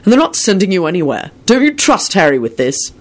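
Woman voicing a brisk, dry, impatient man. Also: sits low, fairly even intonation, darkish tone. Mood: impatient, firm. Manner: clipped, dispassionate, firm. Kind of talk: audiobook character voice.

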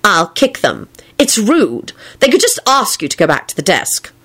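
A woman's voice: mid-range voice, wildly swooping pitch, normal speed, a bit bright, breathy edge, heavily acted. A woman voicing an indignant, outspoken young woman in a storybook, with a huffy manner.

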